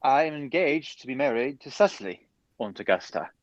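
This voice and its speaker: A man playing an evasive, flustered young gentleman in an amateur radio play, hesitant and bluffing, on a dull, hissy recording. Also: mid-range voice, animated, a little fast, no room sound, lightly acted. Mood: earnest.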